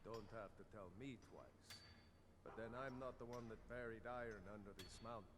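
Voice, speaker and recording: A man's voice: fairly low pitch, a little fast, natural voice. An actor playing a blunt, wry mine foreman in a fantasy video game. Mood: wry, conspiratorial. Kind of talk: scripted video game dialogue. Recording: clearly noisy game ambience behind.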